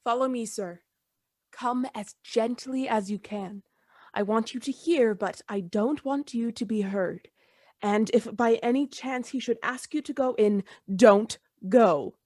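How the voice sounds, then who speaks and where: A woman's voice: fairly high pitch, a little slow, a little mellow, no room sound. A woman playing a frightened, loyal and deferential old household servant in a Gothic radio play. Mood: hushed, wary. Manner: deferential, agitated, emphatic.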